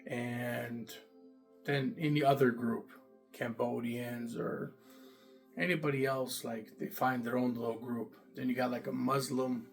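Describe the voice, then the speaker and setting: A man's voice: flat delivery, measured pace, soft background music. A man in casual first-person storytelling to camera, talking loosely with lots of fillers and repeats, about his own time inside. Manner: loose and repetitive, many fillers, emphatic "boom". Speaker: vlogger recounting jail time.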